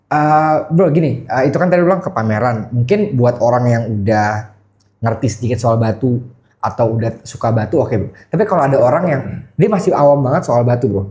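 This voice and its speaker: Male podcast host, Jakarta accent, dark relaxed tone at medium pitch, colloquial and checking for agreement. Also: fairly quick. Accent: Jakarta Indonesian. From Indonesia. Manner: colloquial, often seeks agreement. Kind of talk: casual interview chat.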